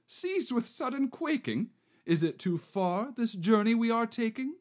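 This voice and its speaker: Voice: mid-range voice, very animated, a little slow. A performer playing a swaggering male bandit who poses as a romantic marquis, delivering verse theatrically. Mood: grandiose. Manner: bombastic, theatrical verse delivery with wild swings. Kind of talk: amateur reading of a verse play.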